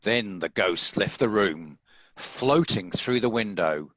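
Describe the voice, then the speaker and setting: A man's voice: on the low side, animated, takes it slowly, a bit bright, no echo, poor audio. A man reading scripted story narration as the narrator of a table read.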